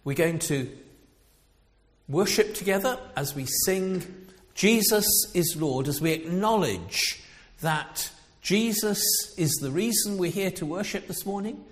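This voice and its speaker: An older man from with a loud voice, preaching an informal sermon in a chatty, meandering way with frequent pauses. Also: high-pitched, animated. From Coventry, England. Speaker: lay preacher. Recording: echoey hall sound, dull lo-fi.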